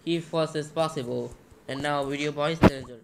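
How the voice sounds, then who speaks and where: A man presenting a casual screen-recording tutorial in halting, fragmented steps. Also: fairly high pitch, steady tone, creaky. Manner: halting, fragmented step-by-step speech. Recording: noisy amateur tablet screen recording.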